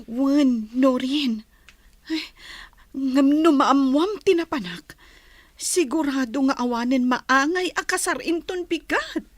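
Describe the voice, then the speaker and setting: A woman's voice: high voice, takes it slowly, dry recording. A woman with a Filipino accent playing an earnest young friend of a bride in an audio drama. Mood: urgent, apologetic.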